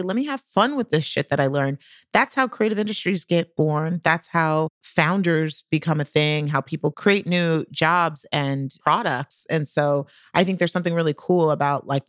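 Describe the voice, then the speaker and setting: A woman's voice: low-pitched, fairly bright tone, dry recording, clean studio sound. An American woman co-hosting a podcast, chatting informally and with enthusiasm. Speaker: podcast co-host. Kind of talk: casual podcast chat.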